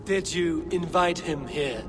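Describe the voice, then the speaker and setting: Stern Norse high god, echoing hall. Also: male voice, slow, noisy background. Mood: stern, suspicious. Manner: authoritative, terse, solemn. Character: stern, commanding male Norse high god, grimly resolute. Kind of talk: video game cutscene acting. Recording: long reverb with music behind.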